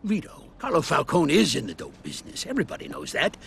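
An actor playing a gruff, shrewd older mob elder in a video game, blunt, cynical and profane. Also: male voice, fairly high pitch, huge pitch swings, fast, a bit bright, a little hiss or hum, heavily acted. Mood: calm, knowing. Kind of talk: cinematic video game acting.

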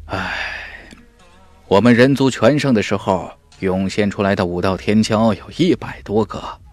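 A man's voice: fairly low pitch, unhurried, faint music behind, close to an everyday voice. A man voicing a grave fantasy warrior who explains and laments the decline of humanity in an audiobook. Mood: lamenting.

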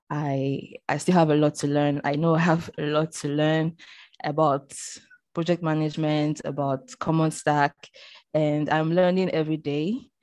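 A woman in her thirties from Benin, hoarse from a cough, introducing herself as project manager on a video call. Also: low voice, darkish tone, dry recording. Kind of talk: casual self-introduction. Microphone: headset on video call.

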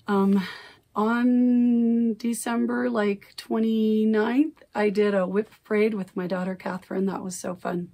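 Chatty woman with a gravelly voice. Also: medium pitch, a little mellow. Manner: rambling, self-correcting, chatty. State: recovering from lost voice. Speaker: cross-stitch vlogger. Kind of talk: casual chat. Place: home craft room, indoors. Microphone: camera at desk distance.

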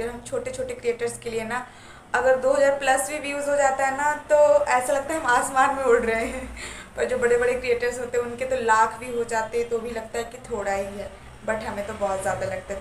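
A woman's voice: on the high side, flat delivery, brisk. A young woman vlogger with a sore throat, chatting and apologizing directly to her viewers from a small room at home. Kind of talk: casual chat to camera. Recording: echoey room, faint background noise.

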